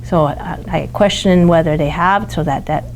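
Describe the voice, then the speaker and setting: A woman speaking as a teacher in an interview, explaining her classroom practice haltingly as she feels for words. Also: on the low side.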